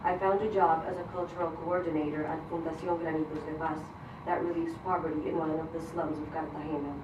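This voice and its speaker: A distant, echoey recording of a woman delivering a prepared personal speech. Her voice is low and fairly flat, with an earnest, measured manner. She is a Spanish speaker. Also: dull audio. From Colombia. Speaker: grant recipient giving a speech. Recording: roomy, distant mic, noisy event hall.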